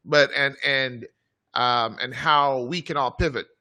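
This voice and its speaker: A man's voice: mid-range voice, slow pace, sharp tone. A man hosting a live online show, introducing a guest with enthusiasm and plenty of fillers. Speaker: host.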